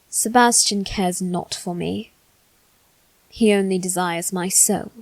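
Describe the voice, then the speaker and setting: A woman performs a haughty young nobleman, still a boy, bitter and guarded. He speaks very slowly in formal, condescending phrases. The sound is dry and intimate, made for an ASMR character roleplay. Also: medium pitch, dry recording, low-quality recording. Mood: disdainful.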